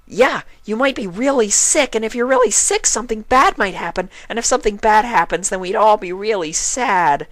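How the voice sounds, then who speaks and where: A performer voicing a hyperactive, excitable young female cartoon pony in a bubbly, exclaiming manner. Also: mid-range voice, normal speed, hardly any pauses, no room sound, voice pushed up, natural voice. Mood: earnest, pleading.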